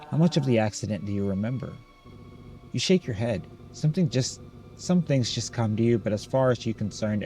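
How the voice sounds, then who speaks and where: A man playing a game on a recording, reading its text aloud and thinking out loud with some hesitation. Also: lowish voice. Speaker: gamer reading and reacting to a visual novel.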